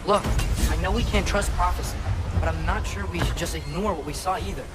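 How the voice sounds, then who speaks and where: Teenage son for a game, male actor: high voice, earnest, eager, questioning delivery over clear music. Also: a little fast, natural voice. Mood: curious, earnest. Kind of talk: video game cutscene acting. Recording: music behind the voice.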